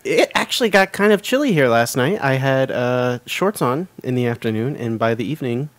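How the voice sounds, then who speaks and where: A man's voice: medium pitch, expressive, brisk, no room sound. A man hosting a casual gaming podcast, playful and announcer-like as he introduces his co-hosts. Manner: playful announcer-style host. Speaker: podcast host.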